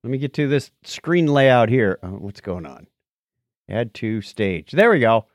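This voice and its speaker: Man with lively, swinging intonation. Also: medium pitch, unhurried, dry recording, studio quality. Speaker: podcast co-host. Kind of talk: casual chat and anecdotes.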